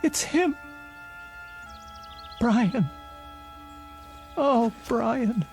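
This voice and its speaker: An actress playing a lonely, insistent elderly woman haunted by regret, with halting, dramatic delivery in a vintage radio drama style. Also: on the high side, expressive, creaky, a little mellow, full character voice. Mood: anguished. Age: older. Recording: radio drama with music underneath.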